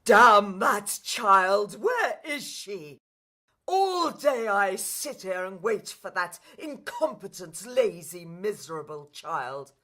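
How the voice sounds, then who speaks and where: A woman's voice: medium pitch, big pitch swings, takes it slowly, bright, forceful tone, full character voice. A woman playing a greedy, imperious evil stepmother in a pantomime, haughty, scolding and theatrical. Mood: greedy, agitated. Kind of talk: stage pantomime acting.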